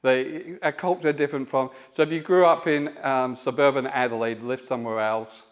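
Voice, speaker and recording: Man, middle-aged, church teaching talk, informal, hesitant, lots of ums, mid pitch. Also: fairly even intonation, moderate pace. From born in Scotland, raised in Melbourne. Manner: informal, hesitant with many ums, laughs along with the group. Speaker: guest teacher. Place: church hall.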